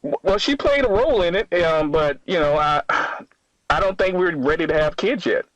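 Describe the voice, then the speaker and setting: A man in his thirties calling a radio show, answering haltingly and defensively with stammered restarts. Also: fairly high pitch, lively intonation, dry recording, poor audio. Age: 30s. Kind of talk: spontaneous phone-in conversation.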